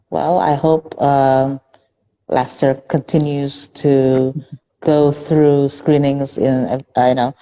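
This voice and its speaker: A woman from Indonesia hosts a casual video-call interview, speaking with a low, mellow voice. She talks in a chatty way, laughing often and correcting herself mid-sentence. Her delivery is friendly and relaxed. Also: poor audio. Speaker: festival director and interviewer.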